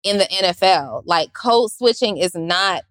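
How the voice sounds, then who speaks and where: A woman with a squeaky, raspy voice, a business owner chatting casually on a panel and acting out voices as she talks. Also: unhurried, no echo, clean studio sound. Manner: animated, acts out voices in quotes.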